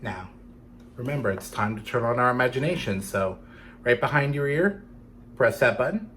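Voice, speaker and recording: A man's voice: mid-range voice, animated, normal speed, a bit bright, a little hiss or hum. A man reading a picture book to children, playfully addressing young listeners as he goes. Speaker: storytime reader for children.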